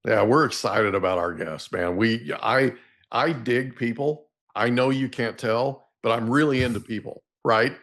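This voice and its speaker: Chatty middle-aged American podcast host. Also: male voice, mid-range voice, measured pace, fairly bright tone. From Oklahoma, United States. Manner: chatty, informal, folksy, rambling into anecdotes. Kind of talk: casual interview chat.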